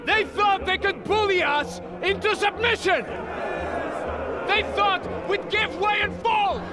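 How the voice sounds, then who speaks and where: A fiery Soviet army officer from a war game, bellowing to rally his soldiers. The voice is strained very high and declamatory. A roaring crowd and very loud music surround it. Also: slow. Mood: fierce, rousing. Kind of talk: shouted rousing military speech in a war game.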